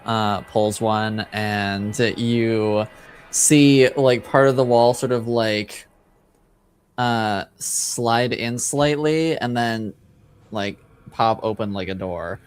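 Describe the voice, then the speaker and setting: Slow, smooth-voiced man narrating a game. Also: fairly low pitch, steady tone. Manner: hesitant, halting, many filler words. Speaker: game master. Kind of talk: improvised tabletop game narration.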